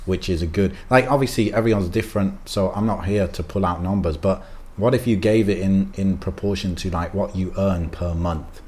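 Very deep-voiced man, chatty and opinionated. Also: moderate pace, few pauses, a little mellow. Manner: loose, opinionated, many fillers and restarts. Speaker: podcast co-host. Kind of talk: casual chat.

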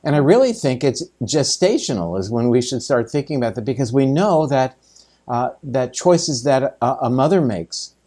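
A man's voice: mid-range voice, big pitch swings, moderate pace, warm tone, breathy edge. A man hosting a podcast, asking questions in a conversational way with many fillers. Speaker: podcast host. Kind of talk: interview questions.

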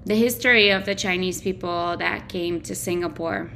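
A woman's voice: on the low side, normal speed, creaky, pushed and bright. A woman hosting a casual interview, asking curious, conversational questions. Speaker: interviewer, host.

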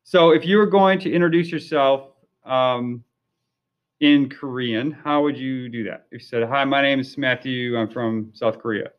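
A man's voice: mid-range voice, normal speed, clean tone. A man interviewing language learners in a casual, curious and friendly way, with lots of fillers. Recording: dull lo-fi recording.

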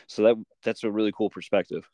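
Guy hosting a podcast, lowish voice, really dry sound, just chatting casually. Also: steady tone, fast talker, warm tone, no echo. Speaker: podcast host and comic maker. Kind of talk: casual interview chat.

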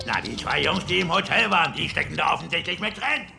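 An actor playing an irritable, self-important gangster who talks brusquely and impatiently in a dubbed TV drama. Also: male voice, fairly high pitch, expressive, fast, gravelly, loud music behind the voice. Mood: impatient, annoyed. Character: irritable self-important male gangster taking charge, paranoid. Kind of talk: German-dubbed TV drama acting.

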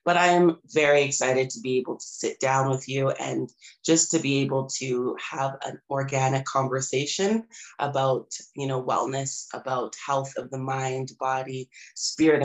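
Slightly raspy woman, reflective interview guest. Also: rumbling low, little pitch movement, normal speed, rough recording. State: recovering from a cold, slightly hoarse. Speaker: podcast guest, empowerment coach and holistic healer. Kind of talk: reflective personal storytelling in an interview.

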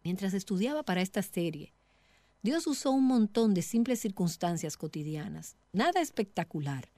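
A middle-aged woman giving a devotional teaching talk as a Bible teacher, speaking in a measured, earnest way directly to the listener. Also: mid-range voice, expressive, mellow, dry recording.

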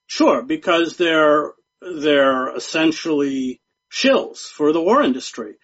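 A man speaking as a guest journalist in an interview, talking in a measured, emphatic way and often repeating words. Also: a little high, expressive, fairly bright tone. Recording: dull lo-fi sound.

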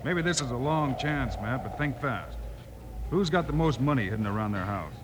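A man playing a brisk, commanding frontier hero. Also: mid-range voice, animated, natural voice. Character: steady heroic male frontier marshal. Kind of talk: 1950s radio acting. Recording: noisy vintage radio recording.

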